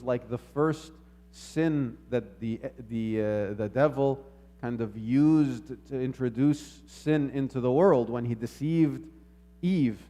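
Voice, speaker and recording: A man giving a sermon in a measured, reflective manner, posing rhetorical questions and repeating phrases as he teaches. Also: medium pitch, mellow. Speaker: preacher.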